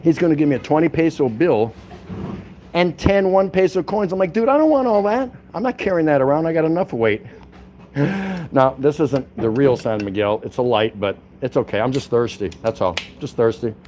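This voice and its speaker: A man's voice: a little high, expressive, moderate pace, darkish tone. A man chatting casually to his viewers in a rambling, folksy, slangy way as he vlogs on a walk. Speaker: vlogger livestreaming a walk. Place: on a walk around a city, stopping at a convenience store. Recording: street and vehicle noise behind. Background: traffic and ambient noise.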